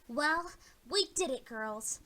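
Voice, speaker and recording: A woman's voice: sits high, expressive, slow, sharp tone, exaggerated voice. A woman voicing an earnest young female cartoon pony princess with eager, emphatic delivery. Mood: cheerful, eager.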